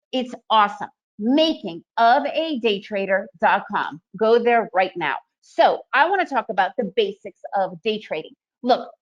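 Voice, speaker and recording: A woman in her forties, originally from the Soviet Union and raised in the US, presents a day trading webinar in an energetic, chatty way, often checking in with "right?". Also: fairly high pitch, highs cut off. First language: Russian.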